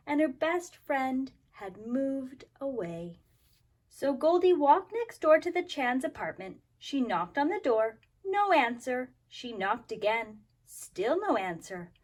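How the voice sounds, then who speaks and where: A woman talking and reading aloud expressively to young children as a kindergarten teacher, explaining things simply. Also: sits high. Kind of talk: children's story time, expressive read-aloud.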